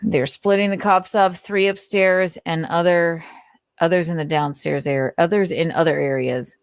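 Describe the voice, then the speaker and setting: A woman's voice: lowish voice, fairly even intonation, moderate pace, dry recording, clean studio sound. A woman hosting and casually reading notes aloud, adding informal, sweary, sardonic commentary as she goes. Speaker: commentator and show host.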